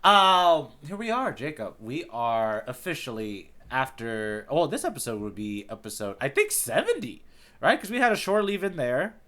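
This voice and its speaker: Playful, slightly hoarse male podcast co-host. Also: sing-song, normal speed, pushed and bright. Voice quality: baritone. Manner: playful, jokey, swings pitch for effect. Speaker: podcast co-host, tour guide persona. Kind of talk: casual podcast banter.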